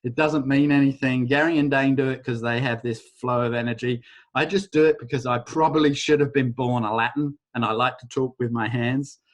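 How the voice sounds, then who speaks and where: A man leading a live online workshop over a video call, talking in a casual, rambling way and softly chanting a repeated phrase. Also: medium pitch, low-quality recording. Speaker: workshop facilitator.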